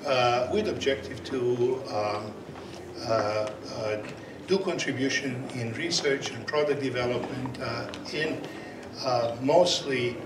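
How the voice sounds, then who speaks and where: A man with a Serbian accent, a native Serbian speaker, chairing and opening a conference session, halting with many fillers and restarts, on a portable mic. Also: mid-range voice. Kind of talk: conference presentation. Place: conference hall. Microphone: portable microphone. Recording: echoey hall, from far off, noisy.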